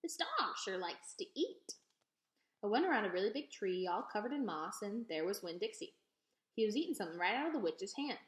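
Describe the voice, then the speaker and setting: A woman reading a children's novel aloud in an expressive storytelling style. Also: medium pitch, fast. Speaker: read-aloud reader. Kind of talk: expressive children's book narration. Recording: echoey, roomy sound.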